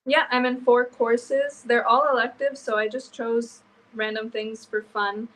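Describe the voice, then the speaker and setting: A woman's voice: on the high side, fairly even intonation, unhurried, a bit bright, low-quality recording. A young woman with a Canadian accent, an exchange student chatting casually on a live video call. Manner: chatty, laughs easily, hesitant fillers.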